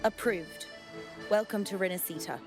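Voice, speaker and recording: An actress plays a female customs officer in a fantasy video game. Her voice sits at a middle pitch and she talks with official, dutiful politeness. Music is clearly heard behind her. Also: moderate pace, natural voice. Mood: officious. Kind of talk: scripted video game voice acting.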